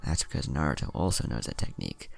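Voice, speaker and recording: Man as a deep, unworried ninja teacher. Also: sing-song, fast, vocal fry, heavily acted. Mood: calm. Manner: explanatory, unworried.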